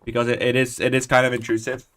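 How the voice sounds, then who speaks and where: A man's voice: medium pitch, monotone, quick pace, fairly bright tone. A man, chatting casually and rambling while he plays a building game on stream, muttering under his breath as he types commands. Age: 20s. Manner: rambling, chatty, self-deprecating. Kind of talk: casual Minecraft stream commentary.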